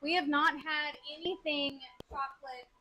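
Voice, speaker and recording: Bright, high-voiced teasing woman co-host. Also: steady tone, a little hiss or hum. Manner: teasing, quick banter. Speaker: co-host reading chat. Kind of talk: casual live-stream chat.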